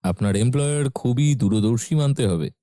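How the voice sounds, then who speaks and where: A man playing a formal, matter-of-fact police sub-inspector in an audio story, official and deliberate with slight hesitations. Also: mid-range voice, lively intonation, warm tone, no echo, clean studio sound, close to an everyday voice. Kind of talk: audio story character acting.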